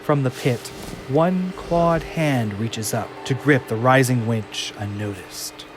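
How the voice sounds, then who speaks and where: A man's voice: medium pitch, slow, over music. A man narrating a scripted audio drama in a dramatic, descriptive way.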